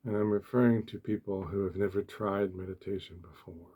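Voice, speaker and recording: A man speaking softly and gently in short, deliberate phrases with pauses, as an ASMR host giving a meditation talk. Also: low voice, fairly even intonation, muffled recording. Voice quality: soft, hushed, breathy.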